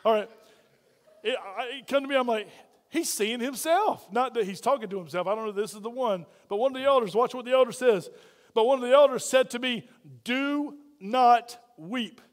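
A man preaching a sermon as pastor, loud and folksy, full of playful asides to the congregation. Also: very high-pitched, moderate pace, big-room sound.

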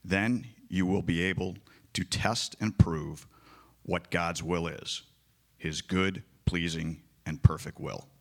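A man reading a long scripture passage aloud in church, in a slow and solemn way. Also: low-pitched, steady tone, vocal fry. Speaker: scripture reader.

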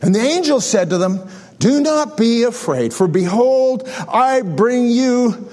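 A man in his sixties delivers a sermon, moving from scripture reading to self-deprecating stories. His voice sits high for a man. Long reverb from the hall trails each phrase. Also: animated, slow, darkish tone. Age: 60s. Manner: storytelling, self-deprecating humor. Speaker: pastor.

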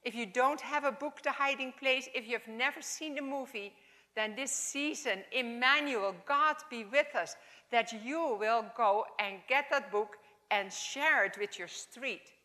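A woman in her seventies with a Dutch accent, giving an informal, halting chapel talk in English as a second language. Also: on the high side. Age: 70s. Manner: warm, halting, non-native phrasing. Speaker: chapel speaker. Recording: long hall reverb.

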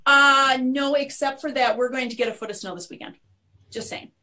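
A woman's voice: high voice, steady tone, moderate pace, pushed and bright, slight background noise. A Midwestern American woman speaking as a guest on a webinar, chatty and upbeat, with many restarts. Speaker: guest, media company owner. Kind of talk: webinar conversation.

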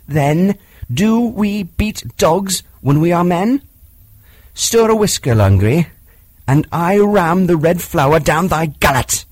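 A man's voice: mid-range voice, a little mellow, husky, dry recording. A man voicing a fierce, defiant boy raised by wolves, speaking in proud, commanding archaic phrases.

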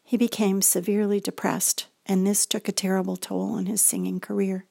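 Older American woman, reflective memoir narrator, a little gravelly, dry close mic. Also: mid-range voice, level tone, normal speed, dry recording. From United States. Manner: gentle, reflective storytelling. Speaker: feature presenter. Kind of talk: scripted personal narration.

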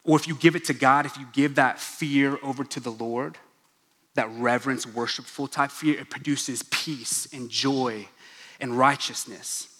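A man's voice: mid-range voice, fairly even intonation, unhurried, fairly bright tone. A young man giving a casual, joking sermon as a pastor, with asides to the crowd. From Oklahoma, USA. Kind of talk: casual sermon in a church gathering. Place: large church hall. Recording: long reverb of a large hall.

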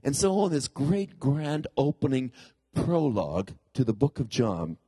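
A man's voice: medium pitch, very animated, unhurried, dark tone, breathy edge, no room sound, studio quality. A middle-aged man, a pastor, preaching a conversational sermon in a congested voice that he puts down to a pulled tooth, often putting questions to the congregation. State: congested from a cold-like ailment after a tooth extraction.

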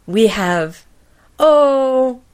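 A woman's voice: high voice, expressive, very slow, a little mellow, clear, resonant tone. A woman hosting a podcast in casual conversation, with a playful, teasing manner. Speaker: podcast host.

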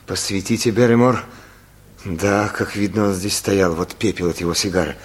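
An actor playing an agitated, horrified country doctor in a radio play, with urgent, dramatic delivery. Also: male voice, low voice, moderate pace, close to an everyday voice. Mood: grieving, sombre. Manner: urgent questioning, dramatic exclamations.

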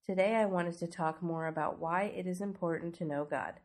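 A woman's voice: on the low side, moderate pace, a little mellow. A young adult woman with a cold and a stuffy, snuffly voice, hosting a devotional podcast in an earnest, conversational teaching manner with rhetorical questions. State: congested from a cold. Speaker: podcast host.

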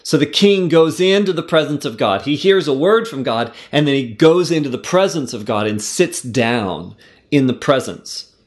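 A man giving a devotional Bible teaching, earnest and conversational, with fillers and restarts, slowing down when he reads verses aloud. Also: on the high side, animated. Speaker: preacher teaching scripture.

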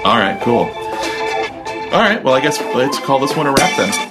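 A man's voice: mid-range voice, big pitch swings, normal speed, loud music behind the voice. A man co-hosting a podcast, chatting casually and rambling with many fillers and restarts. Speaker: podcast co-host. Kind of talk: casual tech chat.

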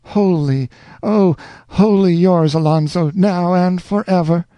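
A lovestruck young woman pours out her devotion in a raised, dreamy voice, as a man puts her on. The tone stays dark and close, with a dry, unreverberant sound. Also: fairly low pitch, slow pace, no echo, voice pushed up. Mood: rapturous. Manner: gushing, breathless devotion. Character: adoring young woman in love.

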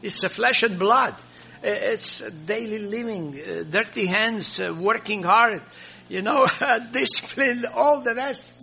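An older man from Croatia who speaks English as a second language, with a Croatian accent. He is a missionary pastor answering audience questions in an earnest, halting way, full of fillers. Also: high voice, vocal fry, pushed and bright, a little hiss or hum.

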